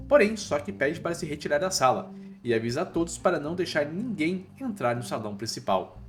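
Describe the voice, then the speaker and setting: A man with a Brazilian accent narrating a scripted story recap in casual, slangy language. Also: a little high. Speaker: narrator of manhwa recap videos. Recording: voice-over with music underneath.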